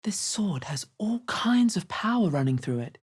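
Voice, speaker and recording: An actress voicing a guarded, practical female adventurer in a video game, with a husky voice and dry, understated delivery. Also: mid-range voice, sing-song, moderate pace, darkish tone. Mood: hushed, wondering. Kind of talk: video game character voice lines.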